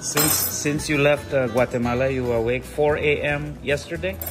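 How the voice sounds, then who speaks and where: A man's voice: on the high side, fairly even intonation, moderate pace, music in the background. A man hosting a casual vlog, explaining a recipe and often checking in with short tag questions. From Trinidad. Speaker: vlog host. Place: home kitchen.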